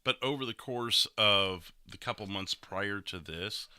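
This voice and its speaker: A man's voice: on the low side, a little slow, bright, forceful tone, smooth, dry recording, studio quality. A man hosting a casual livestream discussion, explaining and commenting in a conversational way. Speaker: host, livestreamer.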